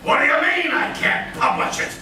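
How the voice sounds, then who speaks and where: A man's voice: very high voice, normal speed, full character voice. A man playing a hot-tempered older newspaper publisher in a radio play, with explosive, exaggerated bellowing. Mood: outraged. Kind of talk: live staged radio play acting. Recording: echoey hall, distant mic, loud noise.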